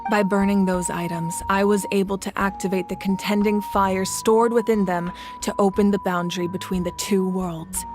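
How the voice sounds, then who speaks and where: A woman acting as a wise female warrior leader in a video game. She speaks at a middle pitch with calm authority, choosing formal words and measured phrasing. Soft music plays behind her. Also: close to an everyday voice. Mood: composed, serious.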